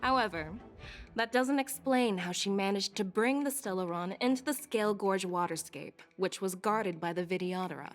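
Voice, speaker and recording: An actress playing a shrewd female general in a game dub, questioning in a formal, measured, pointed way. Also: medium pitch, natural voice. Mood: skeptical. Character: shrewd, probing female general conducting an interrogation. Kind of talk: dubbed video game dialogue acting. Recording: music behind the voice.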